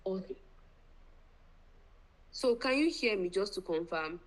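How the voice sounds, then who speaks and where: A woman's voice: medium pitch, animated, slow pace, low-quality recording. A woman with a Nigerian accent hosting a live online event in an effusive, playful and grandiose manner. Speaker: event host.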